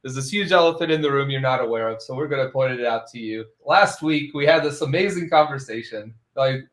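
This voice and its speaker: A man's voice: medium pitch, brisk, a bit bright. A man hosting a casual tech podcast, rambling and laughing often between phrases. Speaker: podcast host. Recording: roomy echo.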